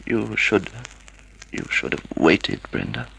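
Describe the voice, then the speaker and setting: An actor playing a wounded, frail semi-invalid husband in an old-time radio drama, halting and pleading. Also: male voice, deep voice, very animated, gravelly, bright, forceful tone, breathy edge, full character voice. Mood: hurt. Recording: noisy vintage radio recording.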